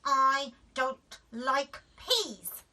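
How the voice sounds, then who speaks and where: A woman voicing a stubborn little girl in a children's storybook, with slow, defiant refusals. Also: high-pitched, animated, bright, forceful tone, recorded from a distance, voice pushed up, full character voice. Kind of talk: children's storybook character reading.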